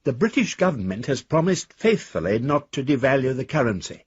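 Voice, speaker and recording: An actor playing a half-mad, disillusioned rich speculator in a radio drama, husky and theatrical, with florid, emphatic delivery. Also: male voice, mid-range voice, sing-song, fairly quick, no room sound, highs cut off. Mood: urgent, commanding. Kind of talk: dramatic radio monologue, letters read aloud.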